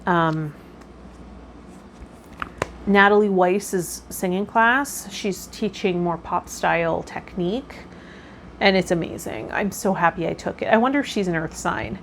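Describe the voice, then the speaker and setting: A woman's voice: on the low side, unhurried. A woman hosting a personal vlog, talking casually in a rambling, self-effacing way. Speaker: host of a tarot vlog. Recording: clear background noise.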